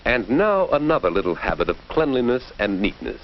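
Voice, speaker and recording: A man's voice: mid-range voice, sing-song, a little fast. A man giving scripted, instructive narration in a 1940s educational film style, with a questioning, presentational manner. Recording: dull, noisy 1940s film soundtrack.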